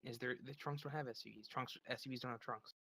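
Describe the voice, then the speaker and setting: A man's voice: mid-range voice, steady tone, quick pace, fairly bright tone, dry recording. A man running a tabletop game as game master, teasing his players in a pedantic, swearing way.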